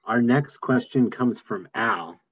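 A man's voice: medium pitch, slow pace, slightly rough, dry recording. A man moderating an online meeting, reading out submitted questions over a video call. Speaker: moderator, staff. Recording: slightly dull video-call audio.

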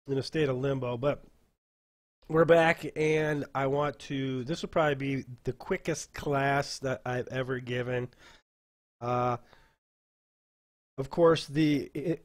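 A man walks listeners through a casual screen-share tutorial for game masters. His voice sits at an ordinary middle pitch, and he talks off the cuff. His delivery is halting and rambling, as he thinks aloud. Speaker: dungeon master teaching a software class.

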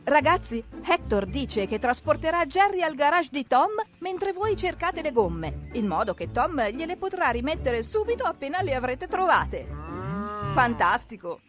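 A woman narrating a children's cartoon in an expressive, exclamatory way, talking directly to the characters as if to small children. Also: high voice, moderate pace. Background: background music.

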